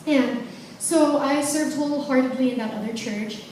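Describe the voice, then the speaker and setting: A woman's voice: high-pitched, normal speed, darkish tone, slight background noise. A woman in her forties from the Philippines, a guest speaker sharing a personal testimony in a chatty, self-deprecating way. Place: large church hall. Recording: echoey hall, distant mic.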